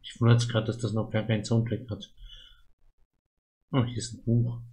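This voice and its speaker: A man's voice: lowish voice, little pitch movement, normal speed, dark tone. A man talks through a game he is playing. His delivery is casual let's-play chat, as on a stream.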